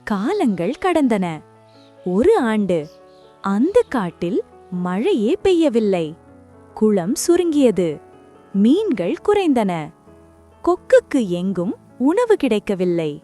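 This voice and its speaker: A woman narrating a scripted children's story in short, deliberate phrases. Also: on the high side, big pitch swings, dark tone, soft background music.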